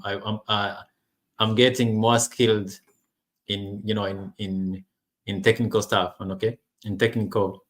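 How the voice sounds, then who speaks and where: A man from Rwanda in his thirties speaks English, which is not his first language, in a voice on the lower side. His casual talk to camera is halting, full of restarts and fillers as he searches for words. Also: a bit bright. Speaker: online business vlogger.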